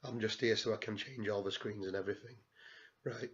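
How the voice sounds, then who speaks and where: A man speaks low and rough, his voice worn hoarse, keeping his words brief and apologetic. The stream audio sounds dull and lo-fi. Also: steady tone, fairly quick, a bit bright. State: hoarse, lost voice. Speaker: stream co-host running the screens.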